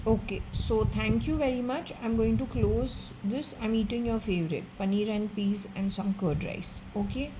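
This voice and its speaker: A woman's voice: a little high, normal speed, few pauses, background noise. A woman with an Indian accent, giving a solo spiritual reading and speaking earnestly to an unseen spirit. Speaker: tarot and occult reader.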